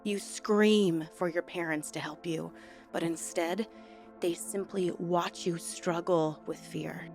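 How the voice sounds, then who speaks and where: A woman hosting a true-crime commentary vlog, stuffed up and nasal from a cold, talking in an earnest, conversational way. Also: fairly low pitch. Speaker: YouTube host.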